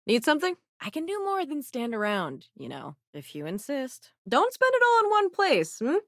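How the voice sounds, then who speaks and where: A woman's voice: high-pitched, huge pitch swings, normal speed, fairly bright tone, no echo, studio quality, exaggerated voice. A woman playing a self-assured young robotics researcher aboard a spaceship in a video game, with a wry, casual delivery. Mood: confident, conversational.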